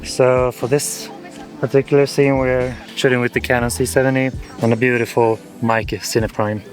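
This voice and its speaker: A man's voice: medium pitch, level tone, moderate pace. A man filmmaker talking casually about the shoot. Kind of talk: casual behind-the-scenes talk. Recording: music playing underneath.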